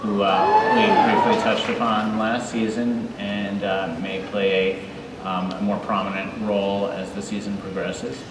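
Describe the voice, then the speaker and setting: A man speaking as a show creator on a live panel, measured and careful in a conversational way. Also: on the low side, flat delivery. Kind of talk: live panel interview, explanatory. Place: large indoor convention hall stage. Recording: echoey hall, distant, crowd noise.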